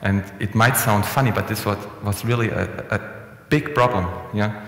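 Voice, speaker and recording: A young man giving a relaxed, conversational conference talk with frequent restarts and fillers, speaking into a headset mic in a conference room. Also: on the low side, normal speed. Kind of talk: conference presentation. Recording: echoey hall with long reverb.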